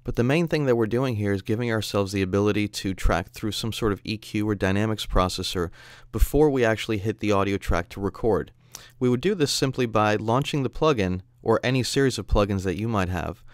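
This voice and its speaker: A man narrating a software tutorial as an instructor, explaining in a conversational way. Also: fairly low pitch, fairly quick, clear, resonant tone, dry recording, studio quality. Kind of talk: tutorial narration over screen demo.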